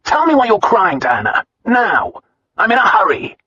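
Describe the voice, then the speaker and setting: A man's voice: on the high side, normal speed, a bit bright, close to an everyday voice. A man voicing an impatient nobleman who barks orders and drawls sardonically in a storybook reading. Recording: slightly muffled dry recording.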